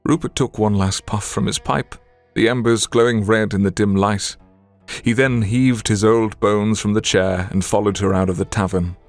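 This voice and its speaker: A man's voice: on the low side, fairly even intonation, normal speed, no echo, faint music behind. A man narrating an audio drama in a scripted, dramatic storytelling style.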